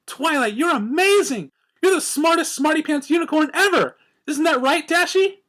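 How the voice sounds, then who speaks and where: A man's voice: very high voice, expressive, moderate pace, fairly bright tone, voice pushed up. A man voicing a bubbly, hyperactive young female pony with a giddy, gushing, sing-song delivery.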